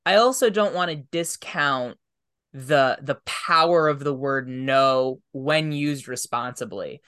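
Reflective podcast host, mid-pitched voice. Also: slow pace, a bit bright, clear, resonant tone. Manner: reflective, thinking aloud. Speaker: tabletop game master. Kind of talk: conversational interview, casual chat.

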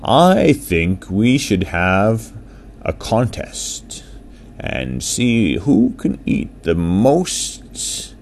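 A man voicing a big, eager, food-loving orca in a children's story, stretching his words slowly and emphatically. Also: on the low side, sing-song, a little mellow, slight background noise, full character voice. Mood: challenging.

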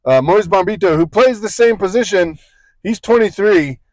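A man's voice: high voice, lively intonation, normal speed, no room sound. A man co-hosting a casual sports podcast, rambling through points with joking asides and frequent self-corrections. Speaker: podcast co-host.

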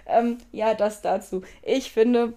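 A woman's voice: fairly high pitch, fairly even intonation, takes it slowly, dark tone. A young woman in her twenties co-hosting a casual chat podcast, rambling and giggly, often breaking off and restarting her sentences. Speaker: podcast co-host.

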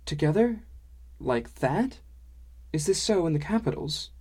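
A man's voice: a little high, lively intonation, a little slow, a little mellow, husky, voice pushed up. A man voicing a kindly, frank, easily amused dwarf woman with quaint foreign-style phrasing in an audiobook. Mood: incredulous, frank. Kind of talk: audiobook character dialogue.

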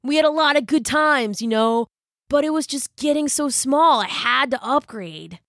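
A friendly male hermit crab from a children's story, voiced by a woman. The talk is casual and easygoing, like a relaxed dude. The recording is dry. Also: sits high, normal speed, no echo, voice pushed up. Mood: apologetic.

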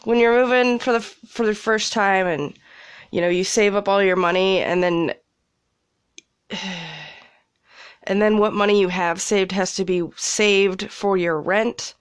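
A woman's voice: medium pitch, dry recording, studio quality. A young woman with a congested cold, rambling casually as a podcast host from a small closet, laughing and swearing freely. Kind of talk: casual solo chat.

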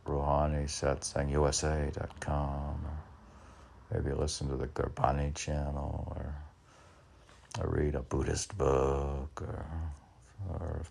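A man in his sixties rambling alone between lines of his own poetry, halting, questioning himself and repeating phrases. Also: a bass voice, monotone, gravelly, a little mellow, breathy edge. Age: 60s. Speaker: poet reading own work and commenting. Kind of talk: rambling solo monologue mixed with poem reading.

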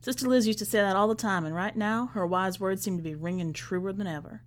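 Female story reader, mid-pitched, scripted first-person fiction narration for a podcast. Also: fairly quick.

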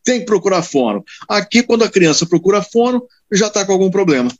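A man's voice: high voice, fairly quick, highs cut off. A man from Rio de Janeiro, a radio announcer, chatting animatedly with colleagues and stressing his points with tag questions. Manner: animated, emphatic storytelling with frequent tag questions. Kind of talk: casual chat among broadcasters.

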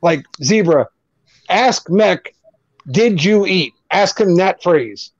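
A man's voice: sits high, animated, dry recording, dull audio. A man with a New Jersey accent, chatting casually and joking as a co-host of a group video stream. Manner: joking, laughs often, quick back-and-forth.

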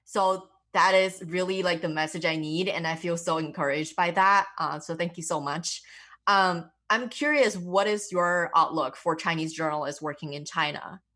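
A woman's voice: fairly low pitch, moderate pace, pushed and bright. A woman who speaks English as a second language, talking conversationally as a journalist conducting an interview. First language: not English.

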